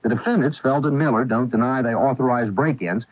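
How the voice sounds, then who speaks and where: A man's voice: medium pitch, fairly quick, no echo. An American man delivering a scripted news report as a radio correspondent. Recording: dull, dry vintage radio broadcast.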